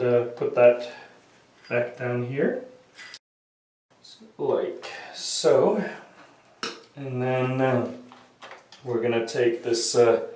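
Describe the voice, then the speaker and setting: Man, slow and unhurried, sniffly with a cold, filler-heavy, roomy distant camera sound, faint hiss. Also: medium pitch. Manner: unhurried, many "uh" fillers, talking while working with hands. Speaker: hobbyist repair tutorial maker. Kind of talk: casual step-by-step repair tutorial. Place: small room at a workbench, indoors.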